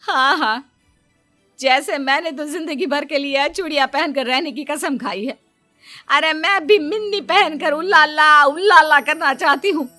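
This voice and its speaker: Brash Indian mum for a comic story, played by a woman: high, bright, greedy and scolding. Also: unhurried, soft background music. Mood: indignant, bossy. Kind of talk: exaggerated comic story acting.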